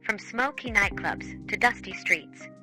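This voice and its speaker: A woman's voice: mid-range voice, expressive, measured pace, music in the background. A woman giving scripted narration as the narrator of a show.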